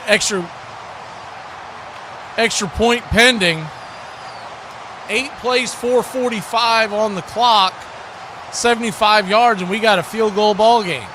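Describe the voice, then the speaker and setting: A man doing excited live play-by-play commentary of a football game. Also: very high voice, background noise.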